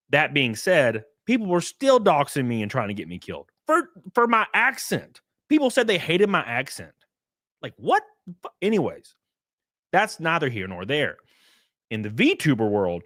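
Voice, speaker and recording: A man's voice: medium pitch, a bit bright, no echo, studio quality. A man hosting a stream, giving casual, rambling commentary in blunt, slangy language. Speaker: streamer, host of a reaction stream.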